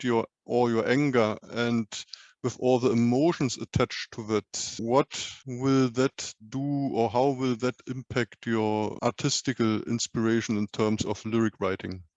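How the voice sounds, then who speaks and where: A man's voice: medium pitch, fairly even intonation, a little slow, darkish tone, dry recording, dull audio. A man interviewing a musician, asking long, winding questions that end in tags like "isn't it".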